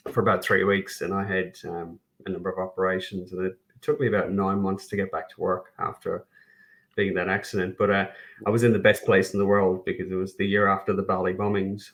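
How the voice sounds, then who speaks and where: A middle-aged Irish man from Kerry with a low, steady voice that barely rises or falls. He speaks as a podcast guest in easy conversation, with dry self-mocking humor and plenty of restarts. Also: low-quality recording. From Ireland. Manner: self-deprecating humor, frequent restarts and fillers. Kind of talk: casual podcast interview.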